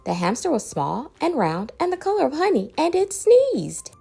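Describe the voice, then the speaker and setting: Animated woman reading a children's storybook. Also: sits high, moderate pace, gravelly, faint music behind. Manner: animated, dramatic, varied emphasis. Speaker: children's book reader. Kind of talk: expressive storybook read-aloud.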